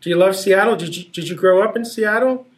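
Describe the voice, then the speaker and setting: A man's voice: high-pitched, fairly quick. A man hosting a podcast who asks questions eagerly and often repeats words as he starts his sentences. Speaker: podcast host. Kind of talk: casual interview hosting.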